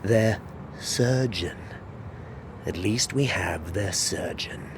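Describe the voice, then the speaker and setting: A man's voice: low-pitched, drawn-out words, a little hiss or hum, exaggerated voice. A man playing an exasperated British agent in a comedic audio drama, exclamatory and incredulous. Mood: urgent. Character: exasperated, excitable male British junior agent.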